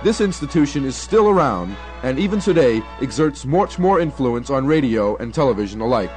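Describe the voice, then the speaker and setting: A man's voice: medium pitch, big pitch swings, fairly quick, music in the background. A young American man reading scripted documentary narration. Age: young adult.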